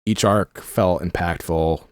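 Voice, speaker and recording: A man co-hosting a casual chat show, his voice going hoarse, reviewing with enthusiasm. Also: low voice, steady tone, slow, no echo, studio quality. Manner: enthusiastic, rating and reviewing at length. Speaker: podcast co-host.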